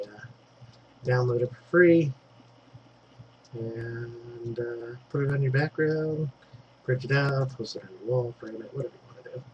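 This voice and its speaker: A man's voice: medium pitch, a little mellow, highs cut off, a little hiss or hum. A man with a congested throat giving a casual digital painting tutorial, thinking aloud as he works and dropping in fillers. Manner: chatty, thinks aloud while working, trailing fillers.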